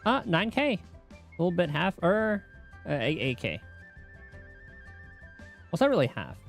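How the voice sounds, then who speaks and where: Male game streamer: animated, casual, self-muttering commentary over soft background music. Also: high-pitched, a little slow.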